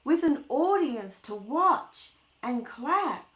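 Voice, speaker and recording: A woman reading a picture book aloud to children, chatting informally and putting expressive, singsong emphasis on the words. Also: high voice, takes it slowly. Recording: echoey room.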